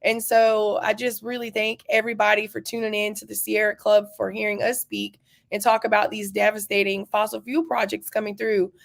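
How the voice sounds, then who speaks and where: An impassioned woman from North Carolina with a gravelly, creaky edge to her mid-pitched voice. She speaks as a community organizer, earnest and heartfelt. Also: level tone, fairly quick. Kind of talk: speaking to a virtual rally.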